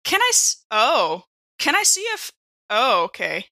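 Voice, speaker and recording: Bright-voiced woman at a game table. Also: on the high side, huge pitch swings, measured pace. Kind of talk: casual game chat.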